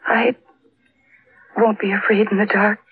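An actress playing a frightened, earnest young wife in an old-time radio drama, halting and pleading in her delivery. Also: medium pitch, flat delivery, slightly rough. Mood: anxious, subdued. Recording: noisy, dull old radio recording.

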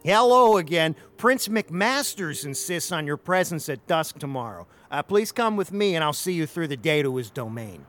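A servile vampire's courier, played by a man, speaks in an audio drama. His voice is highish and very lively in pitch, with a formal, nervously deferential manner. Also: normal speed, hardly any pauses, faint music behind. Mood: nervously polite. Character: polite, servile male courier to a vampire prince.

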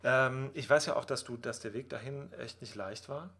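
A man's voice: mid-range voice, normal speed, bright, forceful tone. A man hosting a podcast, giving short encouraging prompts in a casual interview. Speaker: podcast host.

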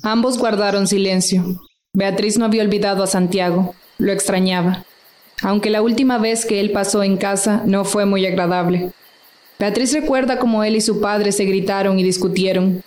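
A woman's voice: mid-range voice, steady tone, normal speed, a little mellow. A woman reading scripted narration for a radio drama. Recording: roomy echo, faint music underneath.

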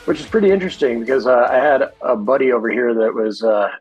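Husky middle-aged American man chatting. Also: medium pitch, quick pace, darkish tone, muffled recording, faint music behind. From Michigan, United States. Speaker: podcast guest. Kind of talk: casual chat.

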